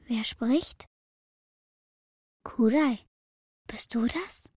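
An earnest young girl in a children's radio play. Her voice sits fairly high, and she speaks with a stubborn sincerity that can turn to pleading. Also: drawn-out words, darkish tone, breathy edge, no room sound, studio quality, exaggerated voice. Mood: anxious, pleading.

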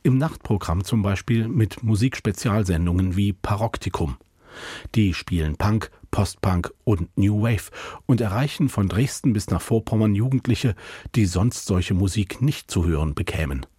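A man's voice: on the low side, animated, moderate pace, warm tone, husky, no room sound, clean studio sound. A man reading scripted documentary narration as a radio reporter.

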